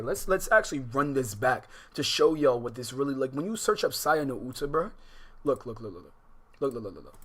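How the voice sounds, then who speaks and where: A man's voice: mid-range voice, pushed and bright. A man doing casual livestream commentary on a game, sometimes reading its text aloud, talking in profane, exclamatory slang and repeating phrases insistently. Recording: music behind the voice. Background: background music, clearly heard.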